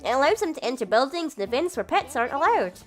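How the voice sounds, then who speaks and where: A high-voiced young sister from a visual novel, acted out by a woman, prim and doting. She explains things politely and proudly, with a giggly touch, over faint music. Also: big pitch swings, fast talker. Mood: instructive. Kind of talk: visual novel character read aloud.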